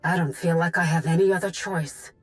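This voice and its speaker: An actress playing a weary, defiant young rebel in a video game, plain-spoken and guarded. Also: female voice, lowish voice, fairly even intonation, a little fast, natural voice. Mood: bitter resolve. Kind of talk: video game dialogue acting. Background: music.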